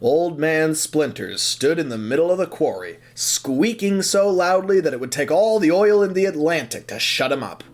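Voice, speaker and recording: A man's voice: a little high, very animated, normal speed, few pauses. A man narrating an audio drama as a scripted story, with theatrical, suspenseful phrasing.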